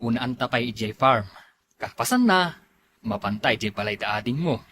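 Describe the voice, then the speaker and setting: An actor with an Ilocano accent playing a fretful, questioning husband in a comedy drama. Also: male voice, medium pitch, animated, moderate pace, bright, forceful tone, slight background noise. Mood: casual. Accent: Ilocano, northern Philippines.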